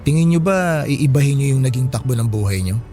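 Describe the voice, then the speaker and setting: A man playing a polite, kindly Filipino working man in a radio drama, gentle and respectful as he speaks to an elder. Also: medium pitch, animated, brisk, close to an everyday voice. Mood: respectful, attentive. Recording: music behind the voice.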